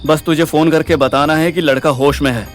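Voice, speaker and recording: A man's voice: fairly high pitch, steady tone, brisk, soft background music, close to an everyday voice. A man playing a brash, swaggering, foul-mouthed young sleuth in an audio drama, talking in bossy slang. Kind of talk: acted audio drama dialogue.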